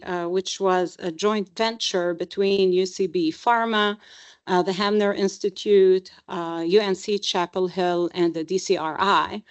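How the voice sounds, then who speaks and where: Unhurried woman, hesitant speaker. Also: mid-range voice, clean tone, highs cut off. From Lebanon. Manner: hesitant, frequent ums and uhs. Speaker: neurologist panelist. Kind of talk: conference panel talk.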